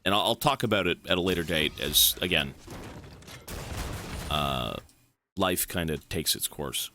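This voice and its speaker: A man's voice: sits low, expressive, unhurried, background noise. A man from Canada streaming a game, chatting loosely with his chat, swearing and rambling as he answers questions. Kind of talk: casual stream chat while gaming.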